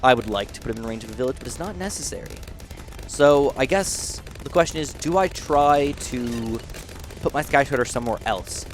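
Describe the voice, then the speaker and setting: A young man with a nasal, youthful-sounding voice thinks out loud as he plays, rambling and then bursting into excited exclamations. His pitch sits in an average range. A faint hiss of noise runs under the recording. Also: normal speed. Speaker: gaming channel host. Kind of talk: casual gameplay commentary.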